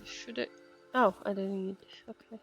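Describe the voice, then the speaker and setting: A woman's voice: medium pitch, fairly even intonation, moderate pace, vocal fry, fairly bright tone. A woman game streamer giving casual commentary in short murmured reactions and reading dialogue aloud. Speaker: streamer playing a game as a VTuber. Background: game music.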